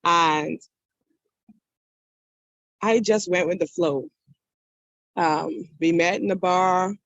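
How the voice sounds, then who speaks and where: Woman, 20s, podcast guest; slow, candid and halting; dry, lo-fi remote-call sound. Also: on the low side, a bit bright, no room sound. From Bahamas. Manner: hesitant and candid, with many pauses. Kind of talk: personal storytelling in conversation.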